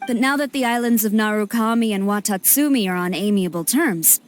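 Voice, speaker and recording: An actress playing a stern, formal female general in a game cutscene, speaking in a clipped, measured way. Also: medium pitch, slight background noise, natural voice.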